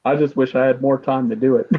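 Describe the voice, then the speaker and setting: A man's voice: medium pitch, steady tone, slightly rough. Hesitant male host, tinny phone-like sound. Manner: halting, lots of uh fillers. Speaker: host of a chat stream. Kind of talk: casual chat.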